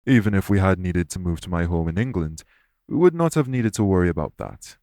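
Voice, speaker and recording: A man playing a courteous, dour immortal king in an audio roleplay, speaking in a formal, measured way. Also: sits low, very animated, warm tone, dry recording, clean studio sound. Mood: courteous, reassuring. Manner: formal, measured, gravely polite. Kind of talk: immersive audio roleplay acting addressed to listener.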